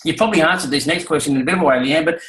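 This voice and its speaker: Higher-voiced male interviewer in an echoey room. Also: a bit bright. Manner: informal, halting phrasing, affirming. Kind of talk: conversational interviewing.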